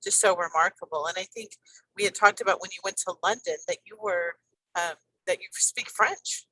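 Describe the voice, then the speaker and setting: A woman's voice: on the low side, normal speed, bright, forceful tone, breathy edge, dry recording, rough recording. A woman in her fifties hosting a podcast, talking to her guest in an enthusiastic, rambling way full of fillers. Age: 50s. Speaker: podcast host. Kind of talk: conversational interview.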